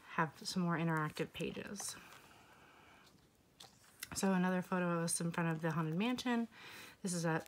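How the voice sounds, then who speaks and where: Young woman kinda hoarse, lowish voice, chatty laid back walkthrough, little whispery asides. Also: slight background noise. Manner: relaxed, chatty, with fillers and occasional whispered asides. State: slightly hoarse, losing her voice. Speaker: scrapbooking vlogger. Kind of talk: casual walkthrough narration.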